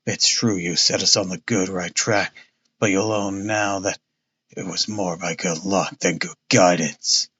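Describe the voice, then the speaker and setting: A man voicing a stout, pompous police inspector with a husky, wheezing tone, blustering and repeating himself. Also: deep voice, fairly even intonation, unhurried, pushed and bright, highs cut off, voice pushed down, exaggerated voice.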